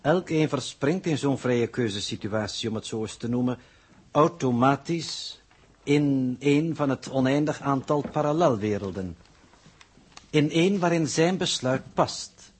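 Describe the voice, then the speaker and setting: A man playing a calm, pedantic scientific coordinator who lectures formally and condescendingly in a 1960s radio drama. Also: mid-range voice, a little slow, slight background noise, lightly acted. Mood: measured, explanatory. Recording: muffled-edged vintage 1960s radio recording.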